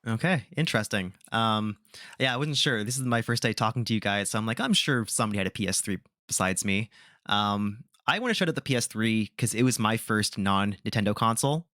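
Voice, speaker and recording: A man's voice: fairly low pitch, fairly quick, pushed and bright, no echo, clean studio sound. A man hosting a gaming podcast, talking casually and giving his opinions with lots of filler words. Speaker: podcast host. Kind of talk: casual chat.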